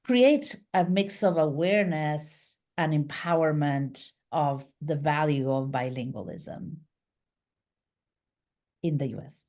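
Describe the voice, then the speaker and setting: A middle-aged woman from Argentina, a business founder, talking as a guest on a video call interview with lively hand gestures and many fillers. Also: sits low, slow pace. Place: small home office, indoors. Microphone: video call webcam.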